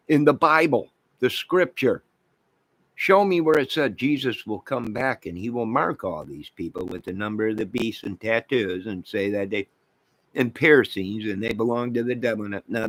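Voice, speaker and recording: A man's voice: on the low side, dry recording. An American man giving a rambling, off-the-cuff rant as an online channel host, speaking in an exasperated, repetitive and emphatic manner.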